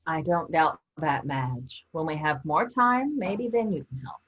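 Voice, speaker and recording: A woman's voice: low voice, sing-song, a little slow, full character voice. A woman playing a practical, teasing member of a travelling theater troupe in a staged play reading. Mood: busy, testy. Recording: dull, lo-fi sound.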